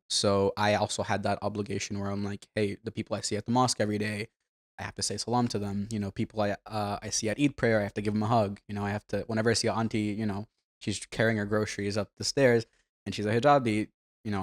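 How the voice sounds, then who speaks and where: A man's voice: lowish voice, dry recording, clean studio sound. A young man from Bangladesh, a community organizer, talking earnestly and casually as a podcast guest, full of "like" and "you know". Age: young adult. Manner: earnest, filler-heavy with frequent "like" and "you know". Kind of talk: casual interview talk.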